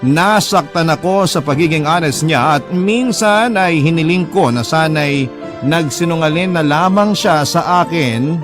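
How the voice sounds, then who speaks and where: Guy narrating a dramatic radio story in first person, voice a bit high, music playing behind. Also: unhurried.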